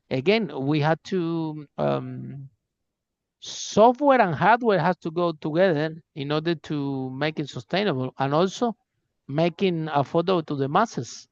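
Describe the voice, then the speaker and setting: A man's voice: on the high side, clean tone, dry recording, muffled recording. A man hosting a podcast in casual conversation, eager and full of fillers, speaking English as a second language. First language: not English. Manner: enthusiastic, many fillers and restarts. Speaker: podcast host. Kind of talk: casual interview chat.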